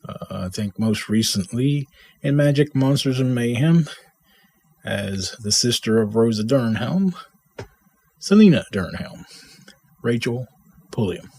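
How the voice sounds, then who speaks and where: Male host, slow and halting, creaky husky voice, informal introductions. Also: mid-range voice, lively intonation, a little mellow.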